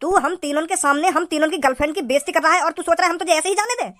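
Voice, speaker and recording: A performer voicing a menacing, scheming male cartoon gangster, speaking in deliberate, boastful threats. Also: very high-pitched, noisy background. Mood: threatening. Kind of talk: cartoon villain dialogue. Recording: music behind the dialogue.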